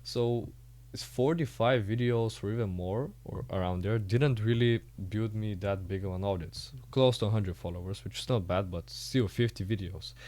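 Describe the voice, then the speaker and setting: A man's voice: fairly low pitch, normal speed, darkish tone. A Bulgarian man speaking English with a Bulgarian accent as a podcast host, talking in a casual, hesitant way full of "uh" fillers.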